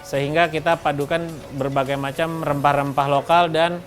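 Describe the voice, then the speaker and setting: A man speaking as a restaurant co-owner in an interview, explaining things in a measured, halting way. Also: on the high side, little pitch movement, faint music behind. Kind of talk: interview talk, explaining a business.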